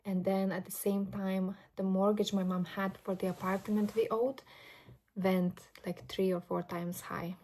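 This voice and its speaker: Lithuanian-born woman vlogger, late twenties, flat even delivery, mellow tone, candid and earnest. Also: mid-range voice. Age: late 20s. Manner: earnest, personal, unhurried with fillers. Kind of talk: reflective vlog monologue. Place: grandmother's home indoors.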